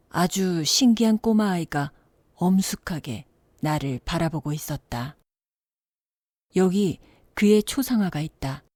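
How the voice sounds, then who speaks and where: Mellow, low-toned woman telling a bedtime story. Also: no room sound, studio quality. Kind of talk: scripted bedtime story narration.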